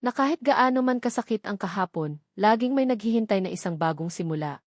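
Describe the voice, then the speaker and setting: A woman narrating a scripted love story as a storyteller, with a measured, earnest, sentimental delivery. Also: medium pitch, animated, dry recording, clean studio sound.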